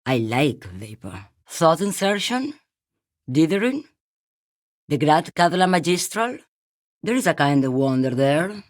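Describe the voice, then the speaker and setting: Game role: world-weary female driver, very low androgynous voice, haunted and musing, recorded dry and close. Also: sing-song, no room sound. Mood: resigned, dreamy. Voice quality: very deep, androgynous for a woman. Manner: slow-musing, cryptic, poetic. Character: weathered, world-weary woman long-haul driver, dreamy and haunted. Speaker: video game voice actor. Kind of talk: dramatic game character monologue.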